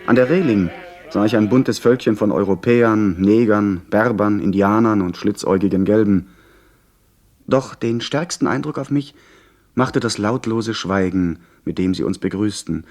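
Actor as low-voiced earnest male narrator. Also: normal speed, darkish tone, natural voice. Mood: measured, literary. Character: earnest young gentleman recalling his pirate adventure. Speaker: first-person narrator. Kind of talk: scripted dramatic narration.

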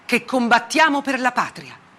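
A man's voice: extremely high pitch, sing-song, moderate pace, pitched above the performer's own voice. A woman performer voicing a hard, self-righteous male army colonel, blunt and accusatory, in a dramatic stage monologue. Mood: defiant, accusing. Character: hard, defiant Russian army colonel in Chechnya. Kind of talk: dramatic monologue radio theatre.

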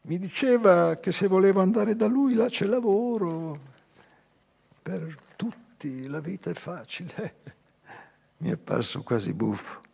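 An older male actor playing a weary, melancholy old man confessing a past crime in a halting, raspy theatrical monologue. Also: sits high, animated, a little mellow, full character voice. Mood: wry reminiscence. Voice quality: raspy, husky, breathy. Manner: halting, slow, dramatic pauses. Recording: live music behind the voice.

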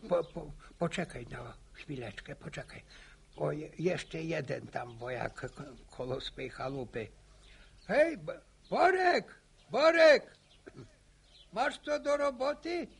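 An actor playing a talkative, teasing old peasant with a creaky voice, halting and repeating his words in a radio drama. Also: on the high side, very animated, heavily acted. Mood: lively, teasing. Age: older. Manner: halting, stammering repetitions, slow. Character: talkative old village peasant man, folksy, teasing, bossy.

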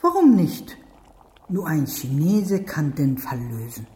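A woman's voice: low-pitched, very animated, takes it slowly, dark tone, heavily acted. An actress playing a middle-aged, weary and guarded mother who runs a takeaway, speaking in short emphatic phrases in a radio crime drama. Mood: guarded, warning. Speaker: actor.

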